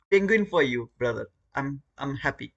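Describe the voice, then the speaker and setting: A man's voice: medium pitch, big pitch swings, slow, gravelly, a bit bright, lo-fi sound. A man from Bangladesh with a Bangladeshi English accent, hosting a live trading stream and talking casually to his viewers, often repeating words. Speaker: trading livestream host. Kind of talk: live trading commentary and chat.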